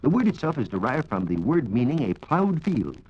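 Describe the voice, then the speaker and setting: A man's voice: medium pitch, very animated, normal speed, breathy edge. An American man working as a science reporter on 1940s radio, giving precise, formal scripted explanations. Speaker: science reporter answering listener questions. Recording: dull lo-fi 1940s radio recording.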